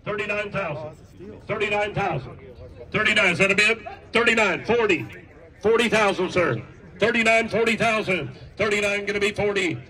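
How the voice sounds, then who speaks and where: A man working as an auctioneer, calling bids in a rapid, rhythmic chant at an outdoor estate sale. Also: high voice, pushed and bright. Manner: rhythmic repetitive bid calling. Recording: distant voice outdoors.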